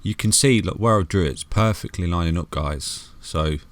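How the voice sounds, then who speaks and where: A man's voice: low voice, moderate pace, no echo. A man with a soothing voice walks through crypto charts in a casual, chatty way, calling listeners "guys" and "mate" and restarting phrases often.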